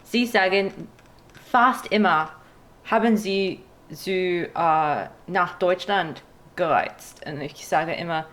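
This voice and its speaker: A woman with a foreign accent chatting casually in a language that is not her own, pausing to search for words. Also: on the low side, a bit bright. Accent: non-native, foreign-accented German. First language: not German; speaks it as a foreign language. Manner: halting, pauses to search for words. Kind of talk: casual language-practice chat.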